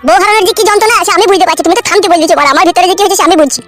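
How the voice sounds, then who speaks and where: A man's voice: extremely high pitch, very fast, fairly bright tone, soft background music. A man voicing a heartbroken, naive young nephew in a comedy cartoon, plaintive and crude, with a rural West Bengal accent. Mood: self-pitying.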